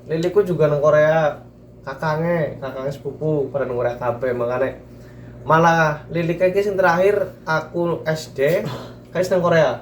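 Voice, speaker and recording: A man's voice: fairly high pitch, fairly even intonation, normal speed, a little hiss or hum. A young man with a thick Banyumasan ngapak accent, telling his story in a casual group chat. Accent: Banyumasan Javanese (ngapak). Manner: storytelling, speaks in thick dialect. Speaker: guest. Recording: echoey, from far off the mic.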